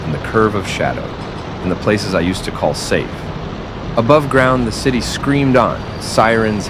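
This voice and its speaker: A man narrating a dark scripted story in the first person, slowly and seriously, with dramatic pauses. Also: on the low side. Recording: loud city ambience and rain noise. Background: rain and city traffic ambience.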